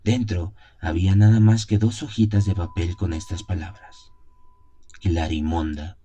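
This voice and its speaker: Deep, dark-voiced man narrating gothic horror. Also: steady tone, a little slow. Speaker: narrator of an audio horror story. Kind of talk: dramatic scripted first-person narration of a gothic tale. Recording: music behind the voice. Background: music.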